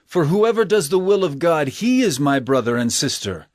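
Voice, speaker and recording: An actor playing Jesus as a calm, authoritative male teacher in an audio drama, with a measured, solemn delivery. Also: fairly high pitch, no room sound, clean studio sound. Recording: music bed, slightly distant mic.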